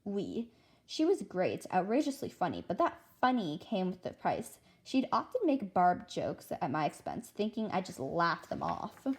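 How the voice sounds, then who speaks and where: Storybook teacher, voiced by a woman narrator: gentle, sympathetic and a little dramatic, with lively intonation. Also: on the low side, a little slow. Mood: confiding. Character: kind young female French teacher, gently sympathetic.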